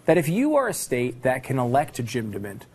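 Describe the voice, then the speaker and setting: An American man hosts a casual political interview. His voice is medium in pitch. He speaks informally and makes his opinions plain. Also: huge pitch swings, fairly quick, darkish tone, a little hiss or hum. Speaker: talk show host.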